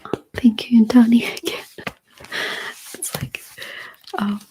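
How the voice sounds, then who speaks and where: A woman chatting to live viewers in a breathy ASMR whisper, with a hesitant, nervous manner. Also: on the high side, little pitch movement, slow, dark tone, rough recording. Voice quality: breathy whisper, mostly unvoiced. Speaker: ASMR streamer hosting a live chat. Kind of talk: whispered ASMR casual livestream chat. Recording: faint background noise with clicks.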